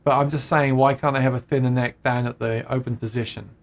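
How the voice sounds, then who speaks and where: A man talks loosely through a live chat stream, reading out comments from viewers. His pitch sits in the middle range, and he often laughs and breaks off to restart a thought. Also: steady tone, fairly quick. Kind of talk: casual chat about guitars.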